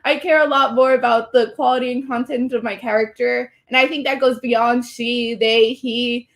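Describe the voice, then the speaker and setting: A woman's voice: fairly high pitch, fairly even intonation, normal speed, a bit bright. A young woman from Puerto Rico, chatting as an interview guest and leaning on "like" as a filler. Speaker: guest, streamer. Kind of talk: casual interview chat.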